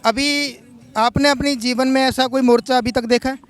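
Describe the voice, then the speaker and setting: A male reporter asking measured, probing questions in a field interview. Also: extremely high pitch, steady tone, clear, resonant tone.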